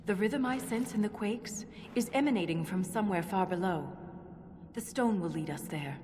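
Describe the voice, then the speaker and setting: An actress playing an earnest, scholarly dwarf woman in a video game, measured and solemn as she explains. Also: medium pitch, lively intonation, noisy background, lightly acted. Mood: reverent. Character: earnest scholarly female dwarf relic-hunter, reverent and intense. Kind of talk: video game dialogue acting. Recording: long cavernous reverb, music underneath. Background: game music.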